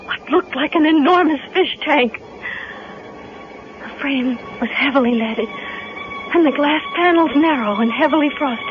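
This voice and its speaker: An actress playing a frightened young captive woman in a 1970s radio drama, halting and dramatic in delivery. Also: high-pitched, heavily acted. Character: frightened, drugged young woman held captive, narrating her nightmare. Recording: muffled vintage radio recording with music.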